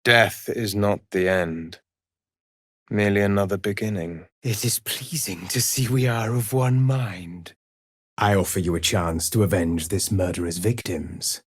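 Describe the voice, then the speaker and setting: A man's voice: on the low side, unhurried, breathy edge, dry recording, studio quality. A man playing a solemn, menacing necromancer judge hungry for vengeance in a fantasy video game, speaking formally and theatrically in archaic phrases. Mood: solemn, persuasive.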